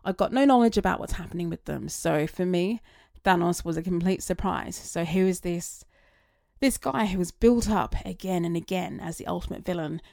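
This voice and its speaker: A woman talking through her thoughts in a chatty, rambling monologue that wanders onto tangents, her voice getting slightly raspy. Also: lowish voice, normal speed, darkish tone, no echo, clean studio sound. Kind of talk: casual reflective monologue.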